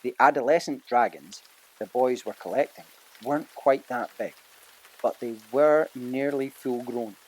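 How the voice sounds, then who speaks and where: A man narrating an audiobook in a dramatic storytelling manner, pausing for emphasis. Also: mid-range voice, poor audio.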